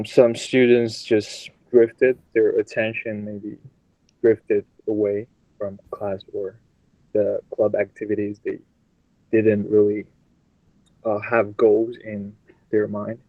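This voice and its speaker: A man's voice: lowish voice, creaky, dark tone, no echo, poor audio. A young man from China in a class discussion, talking hesitantly with many fillers. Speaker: student discussing.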